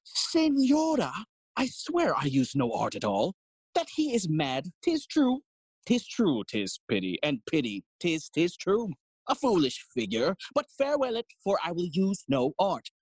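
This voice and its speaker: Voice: medium pitch, wildly swooping pitch, a little slow, slightly rough, no room sound, exaggerated voice. An actor playing a fussy, long-winded old male counsellor in an audio play, theatrical and forever interrupting their own speech. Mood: pompous, rambling. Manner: rambling, theatrical, self-interrupting. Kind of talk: Shakespearean stage acting in audio play.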